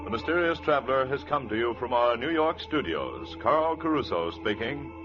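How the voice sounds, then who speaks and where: An American man announces in formal 1940s radio style, his pitch swinging dramatically. The recording is dull and old, with music clearly playing behind him. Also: sing-song, normal speed.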